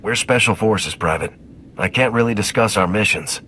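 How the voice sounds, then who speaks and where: A man playing a calm, professional special forces sergeant in a video game, with a clipped manner. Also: on the low side, normal speed, a little hiss or hum. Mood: calm, reassuring.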